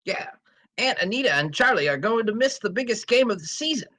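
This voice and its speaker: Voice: medium pitch, lively intonation, a little fast, sharp tone. A performer playing a cheeky, eager athletic teenage son at a screenplay table read.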